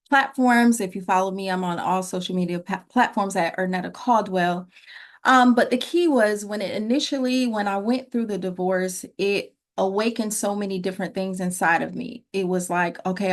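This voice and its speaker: Earnest middle-aged woman guest. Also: medium pitch. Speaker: interview guest, relationship coach. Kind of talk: personal, motivational conversation.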